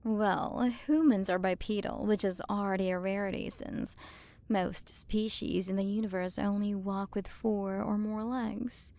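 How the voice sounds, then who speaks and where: A woman performs an imperious alien galaxy queen in an intimate ASMR roleplay. She speaks slowly at a medium female pitch with plenty of vocal fry. Her manner is condescending, flirty and possessive, as if toying with a smaller creature she owns. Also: fairly even intonation, dark tone, no echo. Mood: appraising, playful. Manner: condescending, flirtatious, drawn-out delivery with breathy drops into whispers. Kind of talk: ASMR roleplay, scripted character acting.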